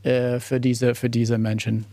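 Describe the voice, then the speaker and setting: A man's voice: mid-range voice, monotone, dark tone, dry recording. A man from the United States with an American accent, speaking German as a second language, searching for words and restarting often as an interviewee. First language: English. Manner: halting non-native German, searching for words, many restarts. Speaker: human rights investigator. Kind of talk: interview conversation.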